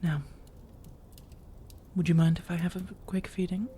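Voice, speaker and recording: A woman playing a flirtatious, coaxing female vampire in a soft-spoken ASMR roleplay, teasing and measured, with intimate pauses and breathy slips into whispers. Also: lowish voice, level tone, a little hiss or hum, close to an everyday voice. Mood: coaxing, affectionate. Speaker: audio roleplay performer.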